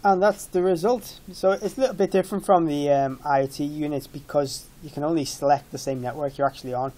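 A man's voice: fairly high pitch, brisk, warm tone. A man in his thirties giving an informal tech product walkthrough in a matter-of-fact, explanatory way. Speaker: product demonstrator.

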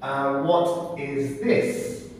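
A man's voice: medium pitch, steady tone, extremely slow, darkish tone. A man in his thirties working as an English teacher, asking simple exam questions and giving short, encouraging praise. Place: small bare classroom, indoors. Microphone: far camera microphone. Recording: echoey room, distant microphone, faint noise.

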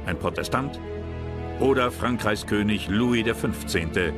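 A man narrating a historical documentary from a script in a measured, serious manner. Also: lowish voice. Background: loud music bed.

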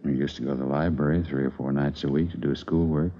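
An American actor playing a grieving, bewildered middle-aged father in a 1950s radio drama, subdued, husky and politely defensive. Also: a bass voice, steady tone, fast talker. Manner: subdued, puzzled. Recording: dull vintage radio recording.

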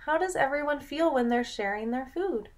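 A young woman reading a picture book aloud to children in an expressive, dramatic way, pausing to ask the listener questions. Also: sits high. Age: young adult. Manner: dramatic, drawn-out delivery with gasps and prompting questions. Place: small room at home, indoors.

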